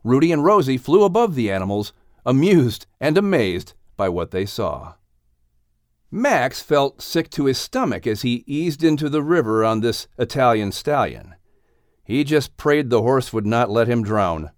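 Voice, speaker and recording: A man's voice: mid-range voice, sing-song, unhurried, no echo, clean studio sound. A man reading scripted narration for a children's audiobook.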